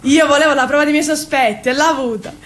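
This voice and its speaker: A woman's voice: fast talker, a bit bright. An actress playing a brash, dreamy young Sicilian woman, poor and yearning, in an emotional theatrical monologue that swings between confiding and exclaiming. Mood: tender, nostalgic. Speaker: stage actress. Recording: roomy sound, faint low rumble.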